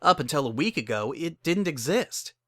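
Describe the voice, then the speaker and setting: A man's voice: fairly high pitch, big pitch swings, normal speed, fairly bright tone, no echo, clean studio sound. A man narrating a piece of fan fiction as a dramatic reading. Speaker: story narrator.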